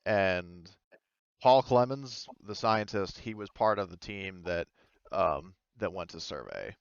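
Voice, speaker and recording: A man with a low, clean voice acts as game master for a tabletop game. He builds the scene haltingly, in hesitant pieces. The sound is dry and a little dull, as on a voice call. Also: expressive, gravelly, smooth, dry recording. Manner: halting, hesitant, pieces sentences together gradually. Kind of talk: improvised tabletop game narration. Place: online voice call.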